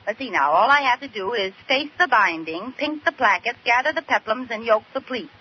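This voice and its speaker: High-voiced scatterbrained American radio housewife played by an actress. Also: female voice, lively intonation, fairly quick, natural voice. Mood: breezy. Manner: comic, theatrical delivery. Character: scatterbrained, stubborn, playful young American housewife. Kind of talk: 1940s radio comedy acting. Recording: noisy, muffled old radio recording.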